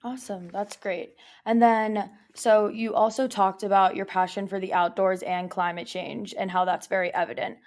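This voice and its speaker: A woman's voice: medium pitch, flat delivery, moderate pace, hardly any pauses, darkish tone. A young woman hosting a podcast, reading an upbeat intro and asking questions. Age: young adult. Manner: upbeat, partly scripted intro. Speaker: podcast host.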